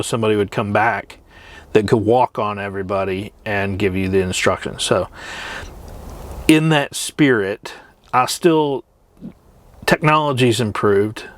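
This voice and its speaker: A middle-aged man chatting casually as a trucker vlogger, rambling and reminiscing with frequent hesitant pauses. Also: fairly low pitch. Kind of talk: casual rambling chat to camera.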